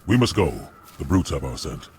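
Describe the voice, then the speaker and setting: An actor voicing a solemn, proud male alien warrior with a gravelly voice and formal, archaic phrasing. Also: very deep voice, sing-song, moderate pace, a little mellow, a little hiss or hum. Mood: grave, wary.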